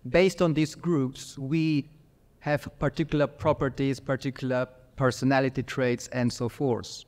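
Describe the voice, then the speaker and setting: A middle-aged man with an Austrian accent giving a workshop lecture, measured and pausing often with fillers. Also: mid-range voice, level tone, a little mellow.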